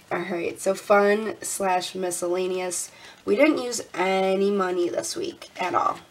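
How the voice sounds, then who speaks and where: Young woman vlogging at her desk, bit raspy and stuffy, laughs a lot, faint hiss behind. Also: mid-range voice, steady tone, slow pace. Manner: chatty, laughs often, counts aloud. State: congested, slightly raspy. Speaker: budgeting vlogger. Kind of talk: casual talk while counting cash. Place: indoors at a desk.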